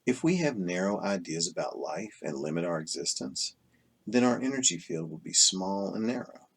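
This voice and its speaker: A man reading a self-help book aloud as scripted narration, in measured, deliberate phrases with pauses between them. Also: deep voice, big pitch swings, creaky, slightly rough. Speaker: audiobook reader.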